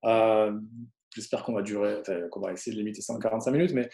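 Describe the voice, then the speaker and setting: Man, gravelly voice, mellow tone, hesitant webinar presenter, echoey video call. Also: on the low side, fast. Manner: hesitant, many fillers and restarts.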